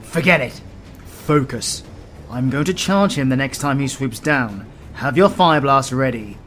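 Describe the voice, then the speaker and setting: A man's voice: mid-range voice, expressive, measured pace, fairly bright tone, slight background noise. A man with a British accent playing a stern, sardonic demon hunter who mentors his apprentice in a fantasy audio roleplay, dry and commanding. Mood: urgent, exasperated. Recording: music behind the voice. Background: music.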